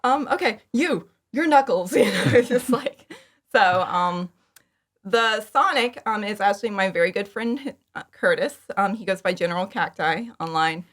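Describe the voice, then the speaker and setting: A woman's voice: medium pitch, lively intonation, normal speed. A woman talking casually and informally as an interview guest, chatty and prone to acting out her own remarks. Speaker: podcast guest, fan audio drama creator.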